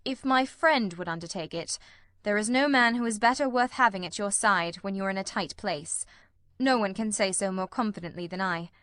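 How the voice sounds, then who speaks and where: A woman voicing a self-assured, authoritative male gentleman detective in an audiobook story. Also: medium pitch, moderate pace, no echo, clean studio sound, close to an everyday voice.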